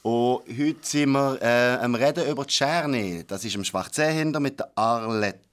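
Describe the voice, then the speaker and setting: A man's voice: mid-range voice, moderate pace, a bit bright. A man with a Swiss German accent, hosting a casual radio chat and asking curious questions. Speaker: radio host.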